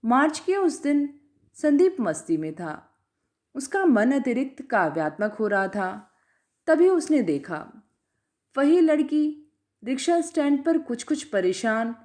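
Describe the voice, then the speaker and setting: A woman reading a literary story aloud in a measured, scripted narration style. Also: fairly high pitch, very animated, mellow.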